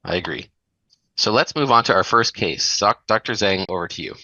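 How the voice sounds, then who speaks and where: A male webinar moderator with a very low, bright and forceful voice. The sound is dry and a little dull, and he slips in light jokes between his announcements. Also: animated, moderate pace, no room sound. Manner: light joking asides.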